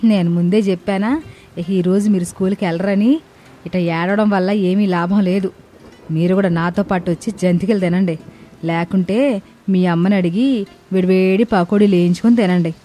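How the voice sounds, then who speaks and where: A scolding old grandmother from a family storybook drama, acted by a woman. She grumbles and complains theatrically in a medium-pitched voice. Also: fairly even intonation, moderate pace, warm tone, natural voice. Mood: smug.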